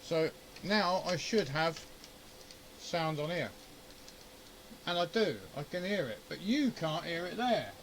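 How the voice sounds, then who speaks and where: Grumbling higher-voiced man over noisy background. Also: animated, takes it slowly, long pauses. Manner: informal, grumbling, thinking aloud. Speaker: amateur radio hobbyist and video host. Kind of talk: casual unscripted shack demonstration, muttering through troubleshooting. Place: amateur radio shack at home.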